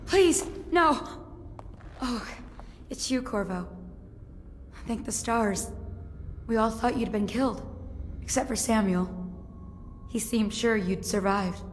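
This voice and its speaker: Shaken servant in long reverb. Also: female voice, fairly high pitch, lively intonation, slow pace, long pauses. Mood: frightened, relieved. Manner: shaken, earnest recounting. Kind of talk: dramatic video game acting. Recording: long reverb, faint noise.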